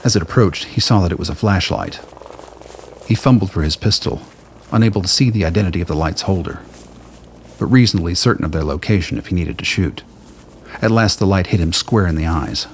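A man delivering scripted, dramatic narration for an audio play. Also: low voice. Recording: noise, ambient sound effects behind.

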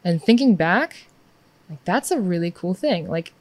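A woman's voice: lowish voice, animated, a little slow, no room sound. Upbeat chatty young woman. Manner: chatty, upbeat, lots of "like" and "yeah". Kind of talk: casual conversation.

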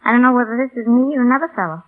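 A woman's voice: on the high side, racing, natural voice. Tinny old radio, wry plucky young woman acted. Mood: sheepish, wry. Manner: hesitant, wry. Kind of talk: 1930s radio drama acting. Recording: tinny, band-limited old radio recording.

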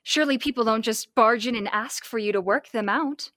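Young woman as high-voiced, curious radio-serial assistant. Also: a little fast, a bit bright, no echo, clean studio sound, lightly acted. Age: young adult. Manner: inquisitive, wide-eyed. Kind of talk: old-time radio serial acting, by amateur school players.

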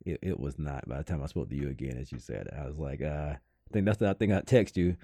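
Male podcast co-host, soft dark tone, casual delivery, very dry studio sound. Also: a bass voice, quick pace, no room sound. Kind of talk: casual chat.

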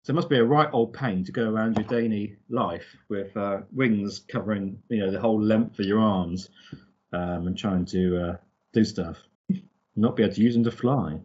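A man's voice: on the low side, normal speed, a little mellow, breathy edge, lo-fi sound. A man co-hosting a podcast with a casual book recap, hesitant and often searching for words. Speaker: podcast co-host.

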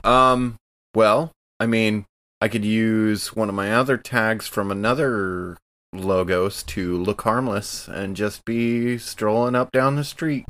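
A man's voice: lowish voice, takes it slowly, fairly bright tone, no room sound. A man playing a tabletop roleplaying game, casually and playfully describing what his character does. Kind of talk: casual improvised game narration.

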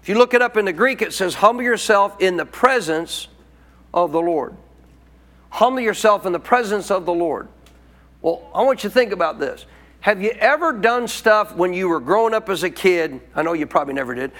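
A man with a high-pitched voice preaching a sermon. He teaches as a pastor in a loose, colloquial way. He keeps prompting the congregation to answer back. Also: animated, moderate pace, fairly bright tone. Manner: call-and-response preaching, colloquial.

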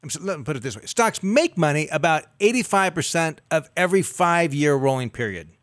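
A man in his fifties co-hosting a call-in advice show, explaining things in a measured way with figures. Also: a little high, animated, fairly bright tone, dry recording, studio quality. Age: 50s. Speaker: radio show co-host. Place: studio.

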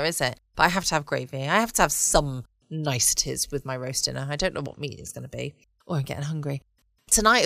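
A woman hosts a casual late-night podcast. Her voice is bright and smooth in a dry recording. She rambles along, self-deprecating, tagging questions onto her remarks. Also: fairly low pitch, dry recording. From United Kingdom. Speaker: podcast host.

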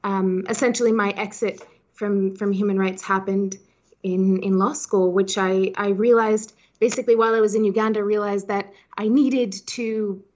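A woman's voice: medium pitch, steady tone, moderate pace, darkish tone, lo-fi sound. A woman in her thirties from Hong Kong, born in Switzerland, answering interview questions conversationally with plenty of "you know" asides. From Switzerland-born, raised in Hong Kong. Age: 30s. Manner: chatty, peppered with "you know". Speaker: podcast guest, startup founder.

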